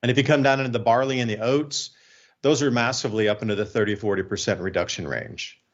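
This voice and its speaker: A man hosts a talk-radio show, speaking off the cuff in an informal way. His voice sits at an average pitch, and the audio is a little dull and lo-fi. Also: fairly even intonation, fast talker.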